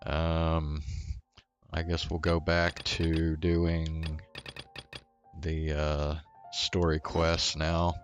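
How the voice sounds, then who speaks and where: A woman's voice: very deep voice, monotone, very slow. A woman livestreamer giving casual commentary while playing a video game. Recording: dull audio, faint game music behind.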